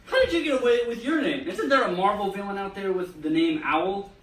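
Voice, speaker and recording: A woman's voice: medium pitch, lively intonation, fairly quick, lightly acted. Woman reading an exasperated speedster hero, echoey room. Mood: amused griping. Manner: brash, griping. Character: exasperated, foul-mouthed young speedster hero seeking a code name. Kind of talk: play table read. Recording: echoey room, distant mic, faint noise.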